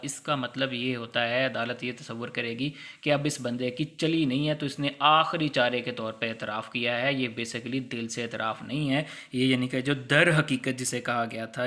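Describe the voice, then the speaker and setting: Bright-voiced Pakistani man giving a lecture. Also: mid-range voice. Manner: didactic, conversational, acts out hypothetical examples. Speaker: law lecturer. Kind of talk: explanatory lecture with English legal terms mixed in.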